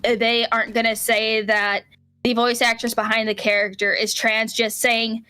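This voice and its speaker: A woman's voice: on the high side, level tone, moderate pace, bright, forceful tone, clean tone. A woman co-hosting a casual podcast, chatting frankly and building up to blunt comparisons. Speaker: podcast co-host.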